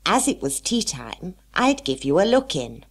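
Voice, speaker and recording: A woman's voice: fairly low pitch, big pitch swings, measured pace, pitched above the performer's own voice, exaggerated voice. Woman playing a sly, teasing Cheshire Cat. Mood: nonchalant. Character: sly, whimsical grinning male Cheshire Cat.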